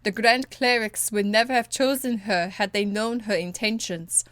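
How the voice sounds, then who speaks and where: A woman's voice: mid-range voice, steady tone, moderate pace, fairly bright tone, dry recording, natural voice. A woman voicing a blunt, stern and dutiful warrior in a video game, speaking in a curt, earnest, formal way. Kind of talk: video game dialogue acting.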